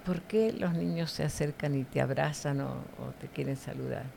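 A woman's voice: deep voice, normal speed, creaky, mellow, a little hiss or hum. A middle-aged woman giving devotional teaching in conversation, didactic and often checking for agreement with short tag questions.

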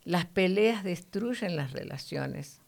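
A woman's voice: fairly low pitch, lively intonation, slow pace, gravelly, darkish tone. A middle-aged woman giving devotional teaching in conversation, didactic and often checking for agreement with short tag questions.

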